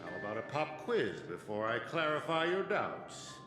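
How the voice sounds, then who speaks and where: An actor playing a scheming, condescending professor villain who lectures in a theatrical way. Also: male voice, mid-range voice, big pitch swings, normal speed, lightly acted. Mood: smug lecturing. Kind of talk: video game voice acting. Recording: roomy game audio with music.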